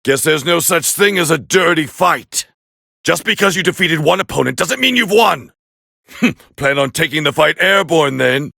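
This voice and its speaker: A man voicing a proud, scornful alien warrior as a fighting game commentator, gravelly and haughty in an English anime dub style. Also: on the high side, lively intonation, normal speed, dry recording, studio quality, heavily acted. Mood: smug, appraising. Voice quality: gravelly, raspy. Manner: haughty, forceful, clipped exclamations.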